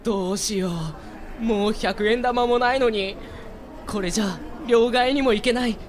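A man's voice: very high-pitched, fairly even intonation, moderate pace. A man playing a harried, grumbling young cake seller, the straight man of a radio drama. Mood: flustered. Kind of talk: amateur Japanese radio drama acting.

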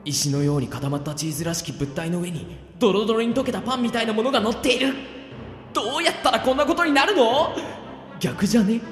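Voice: medium pitch, lively intonation, fast, faint music behind, natural voice. A performer playing an exasperated, wisecracking boy in an amateur comedy radio drama, with dry retorts, muttered asides and startled outbursts. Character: exasperated, wisecracking boy trapped in a swapped body.

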